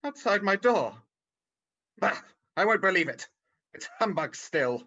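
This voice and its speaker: An actor playing a grumpy, frightened old male miser in a radio play, dismissive and halting, over a video call. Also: medium pitch, big pitch swings, sharp tone, exaggerated voice. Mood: grumpy disbelief. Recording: slightly dull video-call audio.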